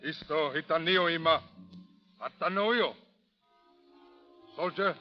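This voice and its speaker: An actor playing a menacing, curt warrior with husky, halting speech in a 1930s radio western. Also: male voice, on the high side, faint music behind. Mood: threatening. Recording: muffled old radio recording.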